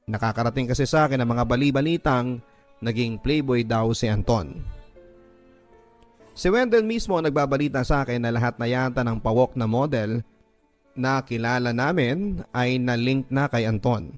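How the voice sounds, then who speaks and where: A man's voice: medium pitch, a little mellow. A man with a Filipino accent reads a listener's story as an emotive, scripted first-person radio drama narration. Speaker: radio drama host reading a listener's letter. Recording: music bed behind the voice. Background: music.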